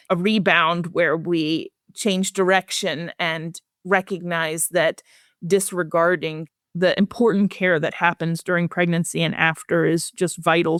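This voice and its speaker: A woman speaking as a guest researcher in a podcast interview, measured, earnest and articulate. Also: on the low side, dry recording, studio quality.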